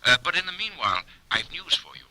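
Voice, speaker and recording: Sharp, urgent man, noisy recording. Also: a little high, very animated, fast, heavily acted. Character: brisk, urgent American business associate on phone. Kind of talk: 1940s radio acting.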